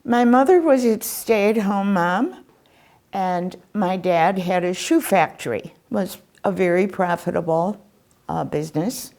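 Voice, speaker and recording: A woman in her eighties from Poland, recalling her family's past in a measured, thoughtful way during a seated interview. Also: mid-range voice, a little mellow. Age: 80s. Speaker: Holocaust survivor interviewee. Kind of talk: recounting childhood memories. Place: indoor interview set with photo wall.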